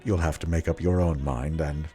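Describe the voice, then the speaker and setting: A man hosting a radio drama series, giving measured, scripted narration in a theatrical, portentous manner. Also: very deep voice, steady tone, warm tone. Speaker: host, producer and star of a radio drama series. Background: faint music.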